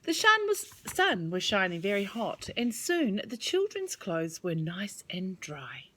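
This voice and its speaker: A woman reading a children's storybook aloud as storyteller, with expressive, measured delivery. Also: medium pitch.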